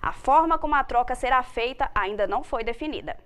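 A young woman news anchor reading stories in a formal, steady way from a TV studio. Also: fairly high pitch, fairly quick, smooth. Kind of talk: read news presentation.

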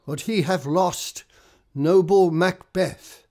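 A man's voice: high voice, slow, dark tone. A man playing a stately, gracious king in a classical radio drama. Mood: decisive.

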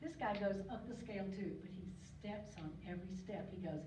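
An older woman giving an informal slide talk at a podium, chatty and digressive, with frequent ums and throat clearing. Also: mid-range voice, brisk. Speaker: guest speaker on local birds. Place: lecture hall. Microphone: podium mic, recorded by a far camera. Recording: distant echoey hall recording, muffled, noisy.

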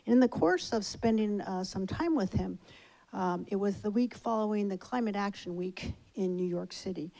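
A woman's voice: medium pitch, normal speed, creaky, warm tone. A middle-aged woman in the audience of a large hall, speaking into a handheld mic and telling a personal story with some fillers. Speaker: audience member. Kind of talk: public comment at a lecture.

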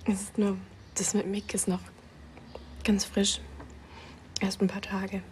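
An actress playing a frightened young woman witness from Kazakhstan, soft and hesitant, slipping into whispers. Her voice sits at a middling pitch. Faint room noise lies behind her. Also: completely flat delivery, slow pace, close to an everyday voice. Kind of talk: TV crime drama acting.

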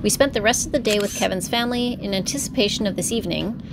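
A woman's voice: mid-range voice, fast. A woman from Canada talking casually as a travel vlogger, on a handheld camera outdoors. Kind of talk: casual travel vlog chat and narration. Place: mostly outdoors around streets, water and marina. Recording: noisy outdoor camera audio. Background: outdoor ambience, boats and vehicles.

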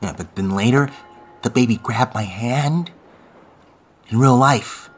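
A man's voice: mid-range voice, takes it slowly, a little hiss or hum, lightly acted. A man playing an unsettled young man in an audio drama, speaking hesitantly and repeating words as he puzzles something out. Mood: hesitant, puzzled. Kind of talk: naturalistic audio drama acting.

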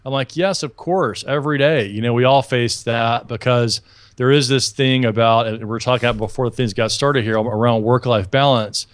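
A man's voice: mid-range voice, moderate pace, smooth, no room sound. A man in his thirties from Alabama, a guest chatting upbeat and talkative on a video call through an earbud headset mic, restarting his sentences often. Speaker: podcast guest. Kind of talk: casual video-call interview.

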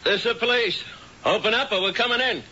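An actor playing a brisk, no-nonsense police officer in a 1970s radio drama, firm and authoritative. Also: male voice, very high-pitched. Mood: commanding. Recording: muffled 1970s radio broadcast, noisy.

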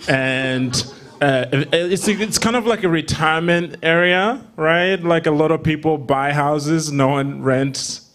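A man in his twenties from Rwanda, a comedian guest telling casual, humorous stories haltingly into a handheld stage mic. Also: a little high, fairly even intonation, fairly bright tone. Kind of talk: casual humorous storytelling in interview. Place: theatre stage before a seated audience. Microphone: handheld microphone.

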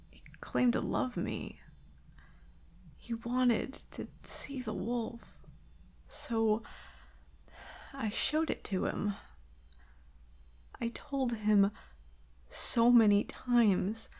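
An anxious werewolf girlfriend, acted by a woman, speaks very slowly in a fairly high, creaky voice. She is vulnerable and hesitant, stammering softly and dropping into whispers in an intimate ASMR roleplay. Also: full character voice. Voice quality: soft, breathy whispering.

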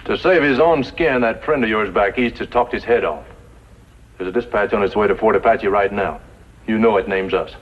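An actor playing an impatient, surly Old West outlaw gunman in a 1950s radio western, talking curtly and roughly. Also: lowish voice, moderate pace, lightly acted. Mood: weary, edgy. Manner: curt, tough, rough slangy talk. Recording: noisy, dull 1950s radio recording.

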